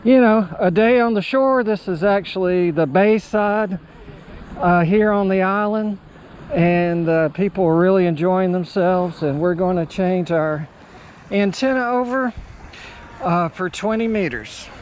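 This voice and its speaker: An American man in his sixties chatting casually and haltingly as he narrates an outdoor vlog. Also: sits high, darkish tone, slight background noise. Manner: halting, unhurried. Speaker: amateur radio operator and vlogger. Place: outdoors at a beachside park. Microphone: handheld action camera or phone at arm's length.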